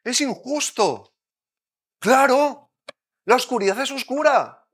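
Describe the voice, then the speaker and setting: A man from Madrid with a Madrid Spanish accent, preaching a sermon, moving between loud urgent points and quiet, close asides. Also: very high-pitched, expressive, slow pace, breathy edge. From Spain.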